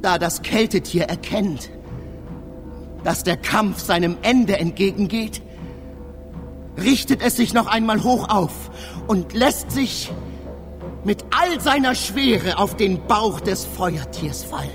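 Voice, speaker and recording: An actor playing a traveler who narrates a fantastical battle of beasts in a measured, theatrical radio drama manner. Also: male voice, sits high. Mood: grave. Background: music.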